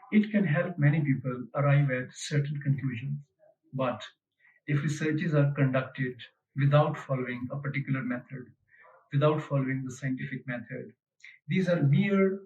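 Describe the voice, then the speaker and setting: Man, lecturer, hesitant explaining, distant mic, muffled recording. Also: on the high side. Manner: unhurried, hesitant, many fillers.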